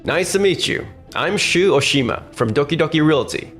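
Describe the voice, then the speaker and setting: Man acting a cocky, animated young salesman. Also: on the high side, normal speed. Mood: eager pitching. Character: overconfident, pushy young male real estate salesman. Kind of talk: anime-style manga dub acting. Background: faint background music.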